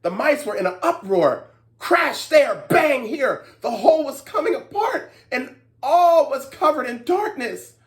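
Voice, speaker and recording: This is a storytime host speaking to young children. The delivery is highly animated, with big pitch movement. It moves between expressive storybook narration and instructive chat, often seeking agreement from the listeners. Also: a little slow.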